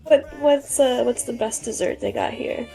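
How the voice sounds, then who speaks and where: A woman playing in a tabletop game, who speaks hesitantly and haltingly, with plenty of fillers. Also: fairly high pitch, vocal fry, warm tone, soft background music. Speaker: player.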